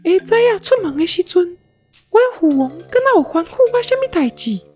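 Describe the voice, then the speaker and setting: A woman's voice: extremely high pitch, big pitch swings, normal speed, darkish tone, soft background music, pitched above the performer's own voice. A woman voicing a young, forgetful, bratty princess in a whiny, exaggerated little-girl manner for an audio story. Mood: sheepish explaining.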